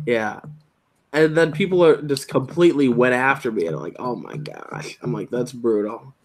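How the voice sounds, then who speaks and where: Man, young adult, slight lisp, mid pitch, casual self-deprecating chat. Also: expressive, moderate pace. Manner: self-deprecating, chatty, trails off quietly at ends of phrases. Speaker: YouTube Lego channel host.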